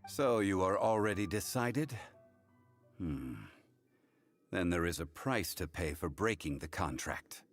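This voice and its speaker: An actor playing a calm, stern, formal man who upholds contracts in a video game, speaking in a measured, solemn way. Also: sits low, soft background music. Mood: grave, measured.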